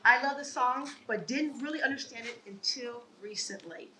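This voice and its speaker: A woman with a bright voice that sits a little high speaks at a ceremony, earnest and measured as she tells her family's story. She sounds a little distant from the mic, with faint ambience behind her. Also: slight background noise. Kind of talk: prepared speech at a ceremony. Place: outdoor ceremony.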